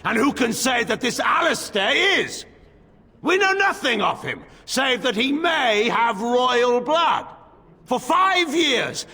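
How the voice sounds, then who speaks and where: An actor playing a proud, bitter middle-aged general in a game cutscene, speaking with grandiose, commanding oratory. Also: male voice, very high voice, gravelly, slightly rough, full character voice. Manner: oratorical, forceful, grandiose delivery. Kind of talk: dramatic game cutscene acting. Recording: long echoing hall, faint music behind.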